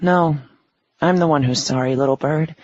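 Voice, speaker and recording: A woman's voice: deep voice, moderate pace, a little mellow, lo-fi sound, slight background noise, close to an everyday voice. A woman reading an audiobook, voicing a young male fallen angel who is protective and speaks with earnest tenderness. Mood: tender.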